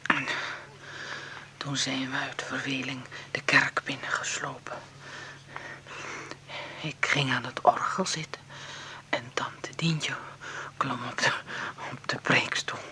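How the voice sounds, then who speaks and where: An actress playing a confused, dying mother in her seventies, rambling haltingly in a weak, breathy half-whisper for a radio drama. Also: a bass voice, little pitch movement, sharp tone, exaggerated voice. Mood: dreamy, confused. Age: older. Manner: halting, wandering, repeating herself. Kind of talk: naturalistic radio drama acting.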